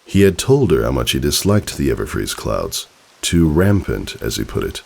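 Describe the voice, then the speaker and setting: A man reading a story aloud as narrator, in an expressive, theatrical, dramatic style. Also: very deep voice, moderate pace, creaky, slightly rough. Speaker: fan fiction narrator.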